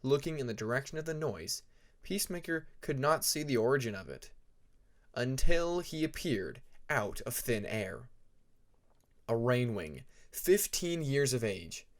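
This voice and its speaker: Slow, deliberate male storyteller. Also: medium pitch, big pitch swings. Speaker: audiobook narrator. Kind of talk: scripted fiction narration.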